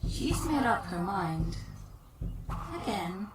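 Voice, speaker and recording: Slow, echoing, weary ghost. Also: female voice, mid-range voice, very animated. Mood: resigned, uneasy. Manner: drawling, resigned. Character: weary, uneasy ghost companion, slow and plain-spoken. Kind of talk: audio drama acting. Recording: distant echoing voice under loud music.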